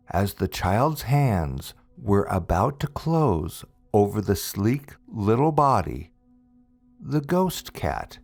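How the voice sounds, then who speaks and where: A man narrates a spooky tale at a very slow, deliberate pace. His voice sits on the low side and swings widely in pitch for dramatic effect, drawing words out. The recording is very dry and close, with music playing underneath. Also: a little mellow, no echo. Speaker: host and narrator of a ghost story channel. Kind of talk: scripted spooky storytelling narration.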